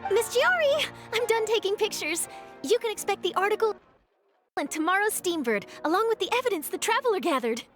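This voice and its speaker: A perky young reporter from a video game, eager and earnest. Her voice is very high and lively, with music behind it. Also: a little fast. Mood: cheerful, eager. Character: bubbly, eager young woman news reporter. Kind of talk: scripted video game voice acting.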